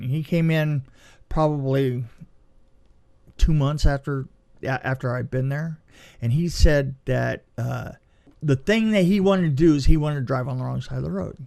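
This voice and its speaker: A man with a fairly high-pitched voice in casual podcast conversation. He tells anecdotes in an animated way and likes to repeat a phrase to drive it home. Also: vocal fry. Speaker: podcast co-host and film production designer. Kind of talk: casual movie review chat and anecdotes.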